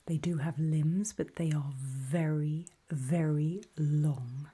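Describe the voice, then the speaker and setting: A woman whispers an ASMR roleplay with a very breathy, airy voice. Her delivery is slow and deliberate, broken into short, hushed phrases. The tone is low and soft, as she guides the listener step by step. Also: steady tone.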